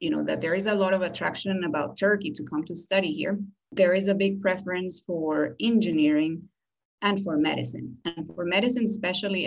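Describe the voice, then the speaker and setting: A woman's voice: lowish voice, rough recording. A woman with a Venezuelan accent, a native Spanish speaker, giving an informative promotional talk as a university recruitment director in an explanatory manner. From Caracas, Venezuela.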